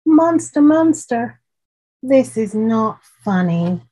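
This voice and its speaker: Female reader playing a scared small girl from a picture book, raised pitch, breathy, calling out into her room. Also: sing-song, dark tone, heavily acted. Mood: nervous, pleading. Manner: calling out in drawn-out, repeated questions. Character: frightened little girl calling out for a monster.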